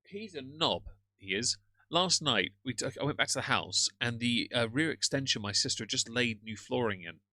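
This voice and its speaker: A man's voice: mid-range voice, animated, normal speed, sharp tone, no echo. A man with a British accent hosting a casual live stream, ranting sarcastically and greeting chat viewers. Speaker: livestream host reacting to another streamer.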